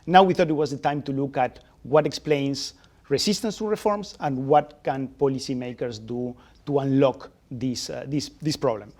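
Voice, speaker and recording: A man presenting new economic research at a panel in a measured way, with small restarts. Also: mid-range voice, darkish tone.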